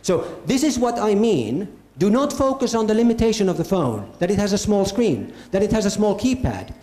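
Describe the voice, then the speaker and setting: A man's voice: high voice, very animated, moderate pace, dark tone. A man from Finland, giving an emphatic conference keynote talk and repeating phrases for stress. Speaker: conference speaker. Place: event hall with an audience. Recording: echoey hall.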